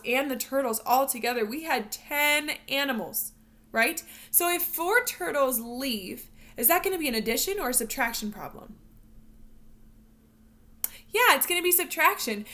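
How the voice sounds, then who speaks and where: Bright, high-voiced woman reading to children. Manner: expressive, instructional, addressing young children. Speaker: teacher reading a picture book to children. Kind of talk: animated read-aloud storytelling with questions to young learners.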